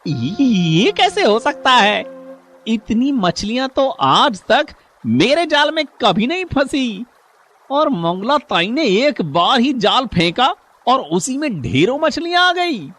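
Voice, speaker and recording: A man's voice: very high-pitched, sing-song, slow, fairly bright tone, soft background music, heavily acted. A man playing a sly, greedy younger fisherman in a moral story, with a taunting, exaggerated delivery. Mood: astonished. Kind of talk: moral storybook cartoon voice acting.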